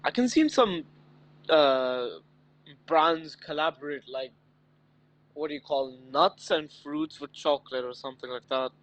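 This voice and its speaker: Slightly high, easygoing male co-host. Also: unhurried, a bit bright, rough recording. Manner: easygoing, laughs often, teasing. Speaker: race stream co-host. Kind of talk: casual live-stream commentary chat.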